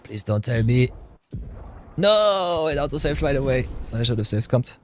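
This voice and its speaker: A man with a deep voice streaming a game, chatting casually with his viewers and musing over his choices as he plays. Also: expressive, moderate pace, a little hiss or hum. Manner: chatty, talks to chat viewers, hums and mutters while thinking. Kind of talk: casual live-stream chat over gameplay.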